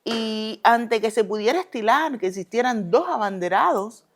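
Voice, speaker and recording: A woman with a Cuban accent speaks in a relaxed interview, measured and full of pauses and fillers. When a topic stirs her, she speeds up and presses her words with conviction. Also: on the high side. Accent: Cuban Spanish. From Cuba. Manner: deliberate, hesitant with many fillers, then emphatic and quick when passionate. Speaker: guest, retired Olympic judoka. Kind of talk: relaxed conversational interview answers.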